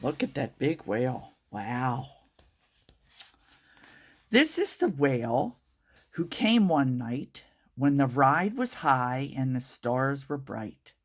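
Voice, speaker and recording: A woman reading a children's picture book aloud in an expressive, drawn-out storytelling manner. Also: a bass voice. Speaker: storytime reader.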